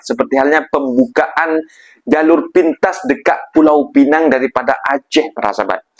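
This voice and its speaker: A man's voice: a little high, poor audio. A man hosting a reaction video, speaking emphatically and indignantly to his viewers as he comments. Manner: indignant, emphatic, refers to himself in third person.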